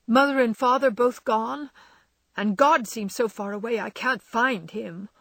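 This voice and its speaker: A woman's voice: lowish voice, expressive, a little slow, slightly rough, no room sound. A woman voicing a spirited young woman in grief, whose speech rises into emotional cries. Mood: distraught.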